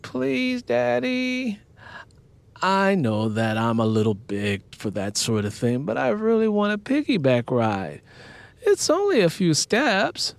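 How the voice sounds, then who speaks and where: A man voicing a sleepy, wheedling teenage daughter in an audiobook, with a drawn-out, pleading manner. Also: high-pitched, huge pitch swings, creaky, pitched above the performer's own voice, heavily acted.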